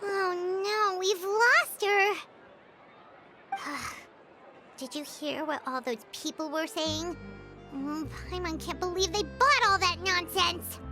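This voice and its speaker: A woman voicing a small, excitable, loyal fairy companion in a video game, with a squeaky childlike voice. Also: slow pace, over music. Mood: indignant. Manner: refers to self in third person, exclamatory.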